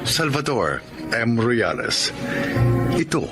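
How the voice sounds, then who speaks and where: A man announcing a radio drama in a scripted, measured, theatrical style. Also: medium pitch, sing-song. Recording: loud music bed behind. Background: music.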